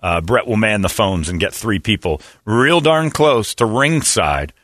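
A man's voice: on the low side, animated, measured pace, fairly bright tone, no echo, studio quality. A man hosting a morning radio show, joking and laughing through casual banter.

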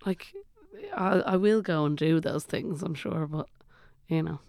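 An Irish theatre performer and playwright chatting reflectively as a podcast guest, moving between soft and lively. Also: medium pitch, measured pace, gravelly, no room sound, clean studio sound. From Ireland. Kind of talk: casual podcast conversation.

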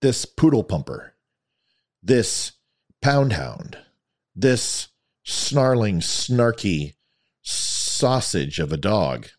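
Guy doing an opinion show monologue, lowish scratchy voice, kinda theatrical and punchy. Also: big pitch swings, extremely slow, pauses often. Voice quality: slightly scratchy, worn voice. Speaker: host of a commentary show. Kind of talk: opinion commentary monologue to an audience.

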